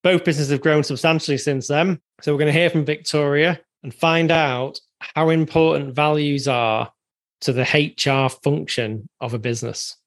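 Male host, slightly higher voice, friendly and halting with restarts. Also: steady tone. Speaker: podcast host. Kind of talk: interview hosting.

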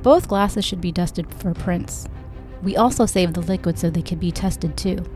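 A woman's voice: lowish voice, normal speed, a little mellow, soft background music, natural voice. A woman voicing a careful, loyal young woman in an audiobook, politely explaining and reassuring.

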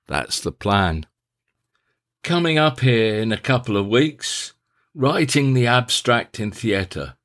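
A man narrating a scripted, reflective personal diary in a measured, deliberate, formal manner. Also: medium pitch, no room sound, studio quality. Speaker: diarist, playwright.

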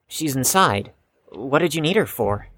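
Actor playing a guarded young chess prodigy, lively pitch. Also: unhurried. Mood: reluctant. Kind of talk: scripted audio drama acting.

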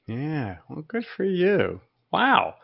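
A man's voice: a little high, wildly swooping pitch, slow, gravelly, husky, lo-fi sound. Upbeat male podcast host. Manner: upbeat, brief affirmations, loose phrasing. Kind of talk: casual interview chat.

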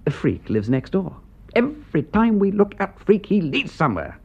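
An actor playing an aristocratic English gentleman detective in a radio drama, with an upper-class English accent and an urbane, emphatic way of talking. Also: high-pitched, huge pitch swings, normal speed, creaky, husky, heavily acted. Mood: urgent, persuasive. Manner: urbane, emphatic, drawling question tags. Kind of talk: British radio drama acting. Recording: dull band-limited radio recording.

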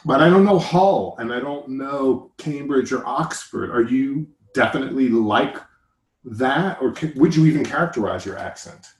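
An American man talks in a loose, joking way, wandering off track and correcting himself as he goes. His voice sits at an ordinary middle pitch. The room around him gives it a noticeable echo. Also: animated, moderate pace. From United States. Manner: joking, rambling, self-interrupting. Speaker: podcast host. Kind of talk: casual chat.

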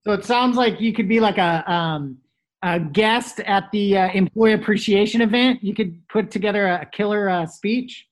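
Hesitant host with middle-pitched voice. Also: normal speed. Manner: hesitant, with many fillers. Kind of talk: casual studio chat.

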